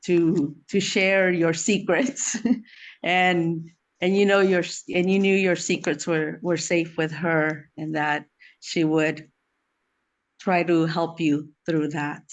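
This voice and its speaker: A woman who is from El Salvador and was raised in the US speaks as a friend at a memorial. Her tribute is informal and heartfelt. She moves through it haltingly, often repeating a word or two before going on. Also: on the low side, level tone. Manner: halting, repeats words while searching.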